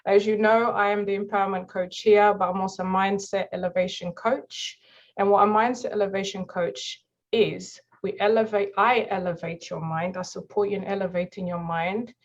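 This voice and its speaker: A woman's voice: medium pitch, fairly even intonation, moderate pace. Upbeat woman coach on a video call. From Mozambique. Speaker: empowerment coach and meeting host. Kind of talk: motivational presentation on a video call. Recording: slightly dull video-call audio.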